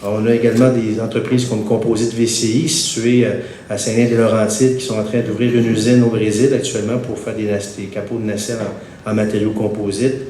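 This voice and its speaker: A man with a Québécois accent speaks in a fairly low, slightly congested voice, his cold audible as he reads a formal statement. He moves at a measured pace and fills gaps with hesitations. The room around him is echoey, with a faint rumble underneath. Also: flat delivery, dark tone. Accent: Québécois French. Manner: measured, many "euh" hesitations. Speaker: wind energy centre spokesman at a press conference.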